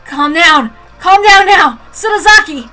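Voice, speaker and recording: A woman voicing a cold, calm male officer who gives curt, brisk orders. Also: very high-pitched, raised voice, over loud music, pitched above the performer's own voice. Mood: commanding.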